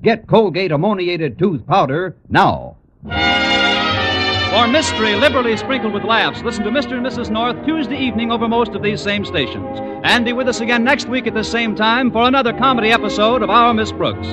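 A man's voice: high-pitched, lively intonation, fairly quick, rough recording. An American man announcing and selling on 1940s radio in a polished, emphatic style. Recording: vintage radio with music, faint audience.

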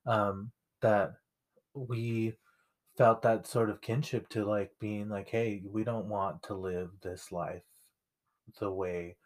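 A man's voice: on the low side, fairly even intonation, slow pace. A young man co-hosting a casual conversation, speaking reflectively with frequent fillers. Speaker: podcast co-host.

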